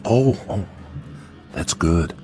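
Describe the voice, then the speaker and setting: An actor playing a nervous farmer father in a radio drama, folksy and halting, placating with false joviality. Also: low-pitched, expressive, warm tone, faint music behind, full character voice.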